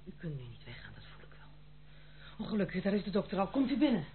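A woman's voice: mid-range voice, big pitch swings, fast, close to an everyday voice. An actress playing a proud, wilful young woman in a period radio drama, firm and guarded in manner. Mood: anxious. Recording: muffled, hissy old radio play.

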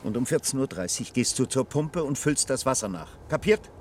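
A man's voice: mid-range voice, lively intonation, a little fast, noisy background, close to an everyday voice. A man playing a stern farmer father. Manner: firm, instructing. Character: stern farmer father, strict about water.

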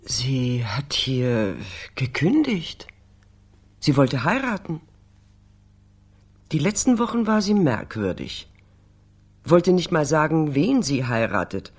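A woman's voice: low voice, sing-song, slow, pauses often, darkish tone, husky, full character voice. An actress playing a guarded, hesitant female office secretary under questioning in a radio crime drama. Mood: concerned, confiding.

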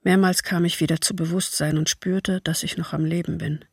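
An actress voicing a traumatised mother who survived a massacre, giving grave, restrained testimony in a radio drama with a husky, creaky voice. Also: fairly low pitch, fairly even intonation, moderate pace, no room sound, studio quality. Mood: pained, grave.

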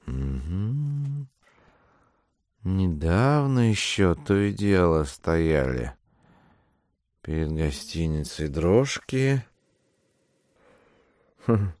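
An actor playing a fussy, self-satisfied gentleman schemer in an audio drama, humming and muttering to himself. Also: deep voice, dry recording, clean studio sound, full character voice. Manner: hums and mutters to himself between phrases. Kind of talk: theatrical audiobook drama acting.

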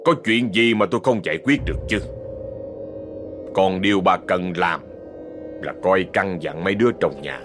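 A man voicing a cold, domineering rich old landowner who speaks gruffly and commandingly. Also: low voice, normal speed, pauses often, music in the background, lightly acted. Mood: menacing.

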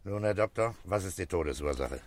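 An actor playing a calm police commissioner in a German radio crime drama, asking short, matter-of-fact questions. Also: male voice, low-pitched, a little fast. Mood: calm, businesslike. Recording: faint background noise.

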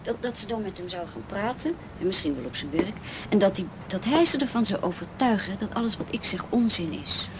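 A woman's voice: medium pitch, expressive, brisk, few pauses, lightly acted. Hesitant, frightened teenage girl, played by a woman in radio drama. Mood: anxious, doubtful. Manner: hesitant, faltering. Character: frightened, wary abused teenage girl confiding in a friend. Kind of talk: naturalistic radio drama acting. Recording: noisy old radio drama recording.

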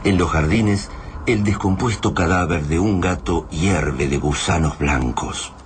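A man's voice: sits low, measured pace, a little hiss or hum. An Argentine man narrating a scripted radio drama in a grave, literary manner.

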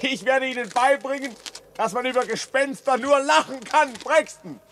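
An actor playing a sceptical, domineering journalist in a radio play, emphatic and excitable. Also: male voice, extremely high pitch, normal speed, slight background noise. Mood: insistent, lecturing. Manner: emphatic, insistent, taunting.

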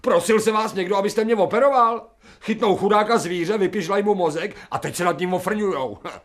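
A man's voice: high-pitched, lightly acted. An actor playing a crude, insolent man made from a dog, talking in coarse slang with a sulky whine, in a radio drama. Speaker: Sharikov.

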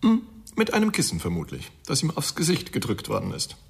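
A man's voice: medium pitch, huge pitch swings, a little fast, vocal fry. A man playing a sardonic, unfazed forensic pathologist in a German radio crime drama, wry, theatrical and matter-of-fact.

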